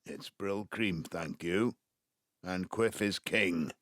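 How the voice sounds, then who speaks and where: A man's voice: deep voice, takes it slowly, fairly bright tone, dry recording, studio quality, exaggerated voice. An actor playing a folksy, jovial Welsh widower in his seventies from the Valleys, rambling and chummy, in an audio drama. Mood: rueful, teasing. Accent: South Wales Valleys Welsh. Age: 70s. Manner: rambling, chummy, groping for words. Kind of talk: naturalistic audio drama acting.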